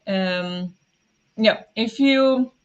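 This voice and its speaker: A woman hosting a casual morning livestream from home, chatting, apologizing and greeting viewers, with many fillers, soft laughs and a voice that sometimes turns raspy. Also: medium pitch, extremely slow, dull audio. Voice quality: sometimes raspy, habitually clears throat. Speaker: livestream host.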